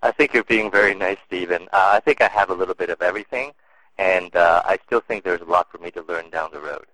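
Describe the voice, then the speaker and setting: A man's voice: deep voice, quick pace, bright, forceful tone, no room sound, poor audio. A man answering interview questions in a casual, thoughtful way, with many fillers and restarts. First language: English and Cantonese. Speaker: interview guest.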